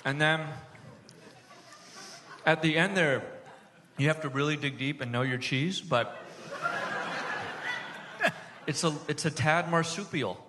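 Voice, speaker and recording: A man with a somewhat high voice plays up a pompous, mock-serious connoisseur in a live comedy bit. His voice rings through a hall with very loud noise behind it. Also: slow pace, long pauses. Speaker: comic resident cheese expert. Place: stage before a live audience.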